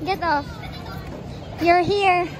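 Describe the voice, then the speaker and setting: A woman's voice: extremely high pitch, steady tone, drawn-out words. A young woman from the Philippines with a Filipino accent, chatting playfully in a casual family vlog, filmed on a handheld phone at an outdoor amusement park. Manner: playful, teasing, mixes English and Tagalog. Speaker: vlogger. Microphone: handheld phone camera. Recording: loud crowd and ride noise outdoors.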